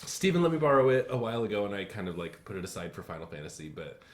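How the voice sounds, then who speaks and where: A man's voice: on the low side, sing-song, rapid-fire. A man co-hosting a podcast, chatting in a laid-back, giggly way. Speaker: podcast co-host. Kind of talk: casual chat.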